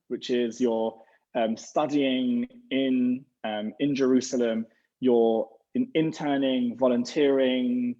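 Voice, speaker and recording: A man's voice: mid-range voice, mellow. A man, a rabbi, talking about his program in a casual interview over a video call, rambling and restarting his sentences. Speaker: rabbi representing a gap year program. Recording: dull lo-fi sound.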